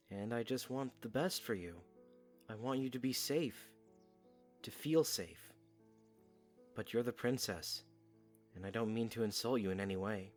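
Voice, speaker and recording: A man's voice: mid-range voice, a little mellow, natural voice. A man playing a loyal young soldier in an audio drama, blunt, protective and earnest. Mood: gentle, devoted. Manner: earnest, direct.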